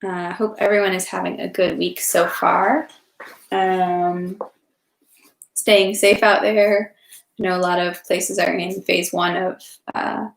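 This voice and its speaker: A woman's voice: on the low side, vocal fry. A woman hosting an informal livestream read-aloud, speaking in a casual, everyday way. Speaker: livestream host reading a novel aloud. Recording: roomy, echoey livestream sound.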